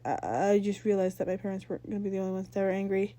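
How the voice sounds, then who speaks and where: A woman's voice: mid-range voice, rapid-fire, dark tone, close to an everyday voice. A woman voicing an awkward but casually confident teenage younger brother in a fan fiction reading. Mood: startled, sheepish.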